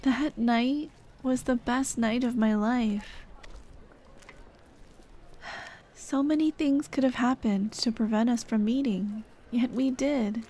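A young woman voicing a dead girlfriend's caring, gently nagging ghost in an ASMR roleplay drama, tender and coaxing. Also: a little high, a little slow, few pauses. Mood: fondly nostalgic. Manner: tender, coaxing, soft-hearted scolding. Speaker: roleplay voice actress.

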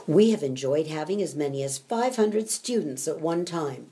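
A woman's voice: deep voice, animated, normal speed, dark tone. An older woman, an acting teacher, reading a scripted first-person narration. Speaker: acting and tap dance teacher.

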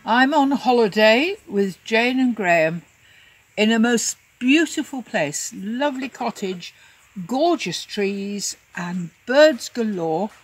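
A woman's voice: on the high side, lively intonation, takes it slowly, breathy edge, poor audio. An older woman recording a fond, chatty birthday video message on a phone at home. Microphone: phone camera.

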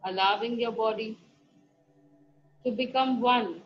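A woman guiding a meditation, giving soft, slow instructions broken by long pauses. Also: on the high side, slight background noise. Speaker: meditation guide. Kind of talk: guided meditation. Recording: dull lo-fi recording with faint music. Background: soft background music.

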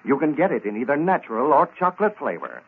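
An American man working as a radio announcer, delivering a sponsor's pitch in 1930s advertising style. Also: very animated, fast talker, breathy edge. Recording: tinny, band-limited vintage radio recording.

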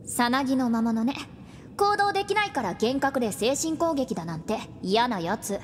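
Bright-spirited, high boyish sidekick, voiced by a woman. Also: sing-song, moderate pace, big-room sound, slight background noise. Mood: flippant, startled. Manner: breezy, teasing, boastful. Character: cheeky, talkative young male sidekick. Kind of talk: audio drama acting.

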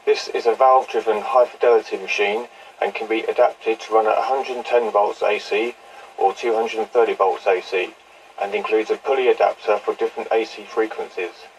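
A man giving a measured, matter-of-fact scripted test recording and narration as a presenter of vintage audio equipment. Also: on the low side. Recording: distant, noisy reel-to-reel tape test.

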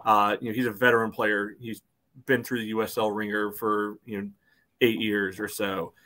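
A man's voice: lowish voice, flat delivery, moderate pace, sharp tone. A man co-hosting a casual soccer podcast over a video call, peppering his speech with "you know". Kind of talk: casual sports discussion.

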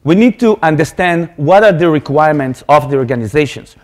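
A man's voice: fairly high pitch, animated, brisk. Israeli man giving a conference talk. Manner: earnest, explanatory, repeats words when restarting. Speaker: conference speaker. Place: lecture hall stage. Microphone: podium microphone.

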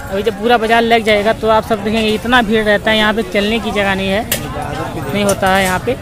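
A young man walking through a village market with his phone, chatting to viewers and haggling with sellers. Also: high voice. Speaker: village vlogger. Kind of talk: walking market vlog narration. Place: busy outdoor village market. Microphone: handheld phone camera. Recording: crowd noise of outdoor market.

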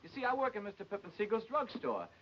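A man's voice: high-pitched, huge pitch swings, fast talker. An actor playing an earnest, hesitant and polite small-town man in a 1940s film comedy. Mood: apologetic, earnest. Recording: dull, band-limited old film soundtrack.

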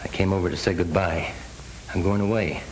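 An American actor playing a desperate, determined young ex-convict with noble scruples in a 1930s crime film. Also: male voice, low-pitched, steady tone, fairly quick, close to an everyday voice. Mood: somber. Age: young adult. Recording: noisy old 1930s film soundtrack.

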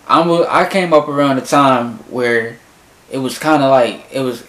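A young man in his twenties gives animated, slangy commentary as he reacts to a rap video from his bedroom. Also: medium pitch. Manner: animated, slangy, talks back to the song. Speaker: music reaction youtuber. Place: small bedroom in front of a closet door. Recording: echoey room.

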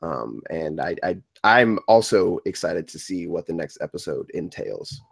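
A man giving informal feedback to a group, halting and hesitant, often repeating his words. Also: deep voice, very animated, vocal fry, breathy edge, dry recording. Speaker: table-read participant giving feedback.

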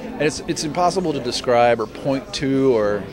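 A man around forty, a painter, talking in a casual, halting and thoughtful interview way about his work. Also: mid-range voice, lively intonation, a crowd in the background. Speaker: painter and art teacher. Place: busy restaurant during an art reception. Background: music.

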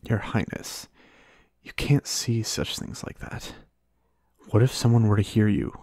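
A devoted, earnest knight, played by a man in a romantic fantasy audio roleplay. His voice is fairly low and recorded dry, without room sound. He speaks to his queen in formal, courtly phrases. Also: fairly even intonation, unhurried, darkish tone, dry recording. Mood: flustered.

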